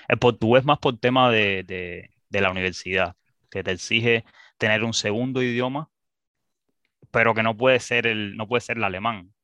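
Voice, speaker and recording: A man's voice: on the low side, bright, forceful tone, dry recording. A man studying abroad, chatting casually and hesitantly, with many restarts and fillers. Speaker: student guest. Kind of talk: casual conversation.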